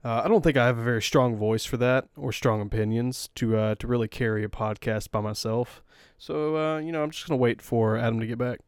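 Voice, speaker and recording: A man's voice: medium pitch, brisk, smooth, no room sound. Joking man co-hosting, dry close mic. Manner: joking, profane, teasing sidekick. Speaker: podcast co-host. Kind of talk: casual podcast chat.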